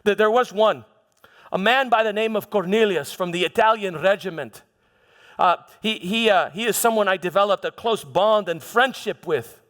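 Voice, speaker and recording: A man playing a proud, defensive Roman prefect and soldier in a dramatic monologue, halting and repeating words as he tells his story theatrically. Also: high-pitched, fairly bright tone. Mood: confiding. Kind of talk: first-person church dramatic monologue. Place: church, live dramatization. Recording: reverberant hall.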